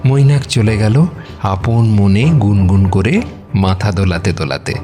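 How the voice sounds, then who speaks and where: A man's voice: on the low side, unhurried, warm tone, soft background music. A man narrating a romantic audio story in a scripted, dramatic storytelling style.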